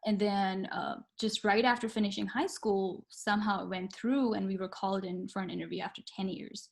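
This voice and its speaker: A woman's voice: medium pitch, fairly even intonation, brisk, vocal fry. Earnest young woman from Pakistan. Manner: earnest, reflective, with hesitant fillers. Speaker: guest, medical student. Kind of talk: interview storytelling.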